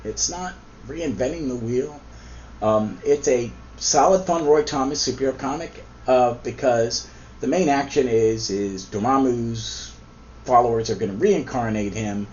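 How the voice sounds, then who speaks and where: A man's voice: mid-range voice, unhurried, a little mellow. A man with a slight cold, hosting a casual comic book review, rambling with frequent ums and restarts. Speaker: podcast host. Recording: slightly dull lo-fi recording with faint noise.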